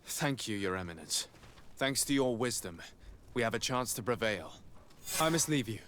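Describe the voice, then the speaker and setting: Earnest male noble played by an actor, courteous and measured, game cutscene, ambient noise behind. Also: mid-range voice, expressive, a bit bright, lightly acted. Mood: formal, concerned. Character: earnest, courteous young noble diplomat, burdened by family betrayal. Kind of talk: video game cutscene dialogue acting.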